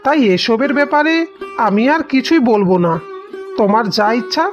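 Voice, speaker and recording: Woman doing a meek hesitant village husband for a cartoon, high voice, kinda muffled recording, guitar music behind. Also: expressive, moderate pace, natural voice. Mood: submissive, resigned. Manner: hesitant, deferential. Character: submissive, hesitant village husband and older brother. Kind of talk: dramatic cartoon voice acting.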